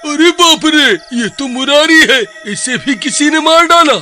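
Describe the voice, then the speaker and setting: A man voicing a simple, excitable village man in a cartoon story, animated and folksy, with a rustic eastern Hindi accent. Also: extremely high pitch, unhurried, pitched above the performer's own voice, exaggerated voice. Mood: worried. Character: simple, excitable male Indian villager, easily alarmed.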